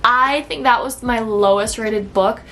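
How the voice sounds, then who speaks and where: A woman's voice: on the high side, a little slow, sharp tone, slight background noise. A woman talking in an informal, conversational way, like a book vlogger chatting casually to viewers. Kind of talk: casual chat to camera, book review.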